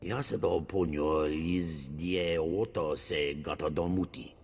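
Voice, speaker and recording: A menacing alien from a space game, speaking an invented tongue. The voice is extremely deep and very rough, ponderous in delivery, with long reverb around it. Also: male voice, unhurried, darkish tone, full character voice. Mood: ominous. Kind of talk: video game dialogue in an invented alien language. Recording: long reverb, music underneath.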